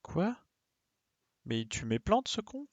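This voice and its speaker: A man's voice: high voice, very animated, slow, dry recording, lo-fi sound. A man doing casual, colloquial gaming commentary, thinking aloud and muttering to himself as he plays. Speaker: streamer playing a video game.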